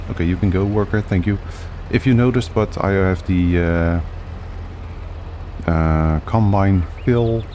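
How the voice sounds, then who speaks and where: A man talks through his game in a relaxed, rambling way, with lots of filler words. His voice sits on the low side and sounds a little frayed, as if he is losing it. A clear background hiss runs under the recording. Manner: relaxed, thinking aloud with many fillers. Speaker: gaming channel host. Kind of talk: casual gameplay commentary.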